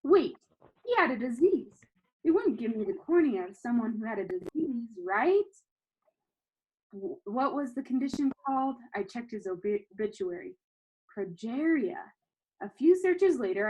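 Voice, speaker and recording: A woman reading a children's novel aloud in an animated, expressive storytelling manner. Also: a little high, breathy edge, low-quality recording. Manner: animated, acts out dialogue.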